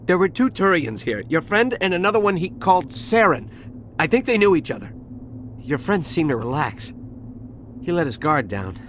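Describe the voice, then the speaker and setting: An actor playing a jittery, cowardly dock worker who stammers and gets defensive, with game music behind him. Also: male voice, fairly high pitch, big pitch swings, normal speed, fairly bright tone, a little hiss or hum. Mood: nervous. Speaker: survivor witness. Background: game score music.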